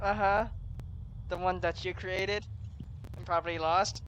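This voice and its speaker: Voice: medium pitch, steady tone, measured pace, a bit bright, slight background noise, poor audio. A player giving casual, joking commentary over a video game. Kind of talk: casual let's-play commentary.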